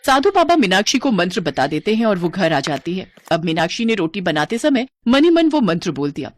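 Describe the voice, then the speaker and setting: A woman's voice: medium pitch, very animated, fairly quick, no room sound. A woman working as a storyteller, narrating a scripted moral tale.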